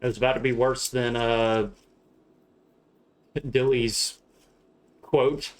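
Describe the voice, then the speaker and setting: A young man with a Southern US accent talks loosely on a live stream. He rambles through explanations, trips over his words and laughs easily. His pitch and energy sit in an ordinary middle range. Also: level tone, takes it slowly. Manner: laughs a lot, rambling and loose, swears casually. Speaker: streamer flying a shared-cockpit sim flight. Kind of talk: casual live-stream chat.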